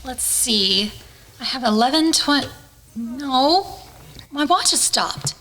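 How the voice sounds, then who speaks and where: A high, bright female voice, slow in pace. An actress plays an anxious young niece in a radio play, puzzled and concerned. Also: lively intonation, gravelly, heavily acted, low-quality recording. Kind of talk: radio drama acting.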